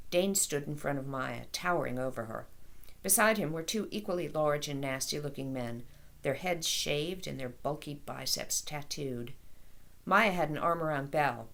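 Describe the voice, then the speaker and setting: A woman author reading a children's story aloud in an expressive storytelling style. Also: low-pitched, vocal fry.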